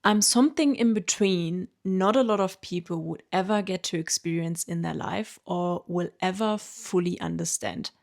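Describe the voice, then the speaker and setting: A German woman in her late twenties, a native German speaker with a German accent, calmly telling her own story in an earnest, reflective vlog. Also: lowish voice, moderate pace, gravelly, hardly any pauses. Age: late 20s. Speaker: vlogger. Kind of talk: reflective personal narration.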